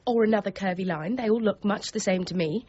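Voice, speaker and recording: An actress playing a brisk, teasing but caring young niece in a period radio drama, forthright and gently goading. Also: female voice, mid-range voice, breathy edge, muffled recording, natural voice. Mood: playful.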